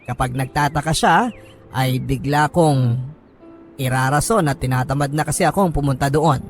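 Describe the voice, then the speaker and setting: A Filipino man reading a first-person story as a radio host, in a measured, sentimental dramatic narration with pauses. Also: mid-range voice. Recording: music bed under the voice. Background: background music.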